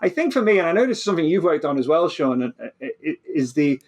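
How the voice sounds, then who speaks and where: Man, higher-pitched, explaining with stammered restarts. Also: big pitch swings, fast. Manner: stammering repeated starts. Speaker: physicist guest. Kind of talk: animated explanatory interview talk.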